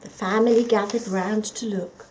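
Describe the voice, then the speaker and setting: A woman reading a children's story expressively and dramatically, often dropping to a hush. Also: medium pitch, steady tone, moderate pace, slight background noise, poor audio. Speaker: volunteer storyteller.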